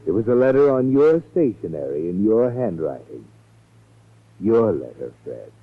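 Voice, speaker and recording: Tinny, low-voiced sardonic mystery narrator. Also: male voice, big pitch swings, moderate pace, slightly rough, exaggerated voice. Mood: sardonic, ominous. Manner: taunting, ominous, addresses the culprit directly. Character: the Whistler, sardonic omniscient man narrating crime tales. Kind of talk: 1940s radio mystery narration. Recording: tinny 1940s radio recording, music behind. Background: dramatic music.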